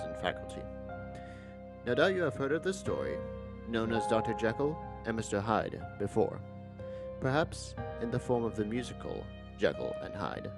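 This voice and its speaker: Young man, slightly low voice, formal measured introduction, scripted, guitar music behind.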